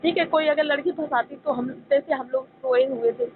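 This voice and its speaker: A very high-pitched woman's voice comes over a narrow, tinny phone line with faint noise. She argues in an insistent, pleading way and keeps circling back over her own words, as in a heated private call. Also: steady tone, moderate pace. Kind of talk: emotional private phone argument.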